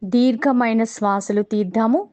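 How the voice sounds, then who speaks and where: A woman with a Telugu accent guiding a meditation in a calm, measured way, pausing between short phrases. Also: on the high side. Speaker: meditation guide. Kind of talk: guided meditation instruction.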